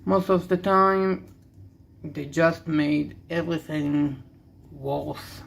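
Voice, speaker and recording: Casting: young Israeli man, native Hebrew speaker, gravelly fry, unhurried halting delivery, candid rambling hobby-video host. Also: fairly high pitch, steady tone, slight background noise. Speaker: card-opening video host. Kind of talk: casual rambling commentary while opening cards.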